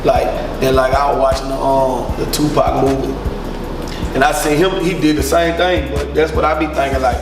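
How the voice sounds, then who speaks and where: A deep-voiced rapper from Louisiana with a Southern accent, talking loosely in an interview and leaning on filler words. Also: male voice, level tone, normal speed. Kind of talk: casual interview. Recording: roomy echo from far off with music.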